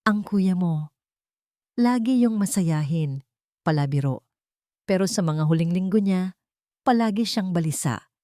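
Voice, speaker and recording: A woman plays an elderly nanny in an audio drama, grave and troubled as she looks back. The voice sits fairly low and moves expressively, recorded close and dry. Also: expressive, unhurried, long pauses, warm tone, no room sound. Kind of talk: Tagalog audio drama dialogue.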